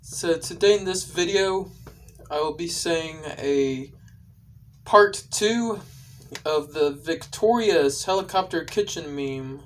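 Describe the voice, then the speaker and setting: Man, video creator, casual explanation to viewers, halting delivery, repetitive phrasing. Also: on the high side, fairly bright tone, recorded from a distance, slight background noise.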